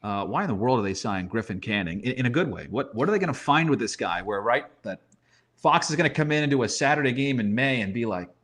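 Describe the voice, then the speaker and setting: A man's voice: mid-range voice, animated, fast, fairly bright tone. A man giving conversational sports commentary as a guest analyst, using rhetorical questions and emphatic repetition.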